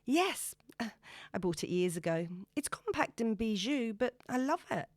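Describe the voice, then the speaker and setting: A woman's voice: a little high, big pitch swings, measured pace, no room sound, clean studio sound, voice pushed up. A woman voicing an eager, anxious, giggly single woman smitten with her date, nervous and eager to please, in an audiobook. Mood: pleased, bubbly. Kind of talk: audiobook character dialogue.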